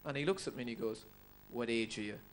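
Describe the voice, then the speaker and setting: A man's voice: mid-range voice, quick pace. A man of thirty with a Cork Irish accent telling stand-up stories in a hesitant, self-deprecating way. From Cork, Ireland. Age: 30s. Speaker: stand-up comedian. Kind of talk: stand-up storytelling. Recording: noisy live stage recording.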